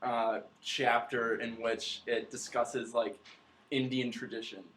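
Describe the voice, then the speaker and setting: A man's voice: mid-range voice, flat delivery, takes it slowly, a bit bright, a little hiss or hum, poor audio. A young man joining a podcast chat, hesitant with a dry humor. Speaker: podcast guest reader. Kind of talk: casual chat.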